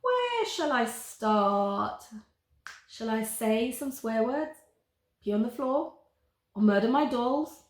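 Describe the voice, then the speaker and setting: A woman voicing a mischievous little girl in a read-aloud story, excited and shrieking. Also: a little high, slow, pauses often, echoey room. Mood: triumphant. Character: mischievous good little girl, high-pitched.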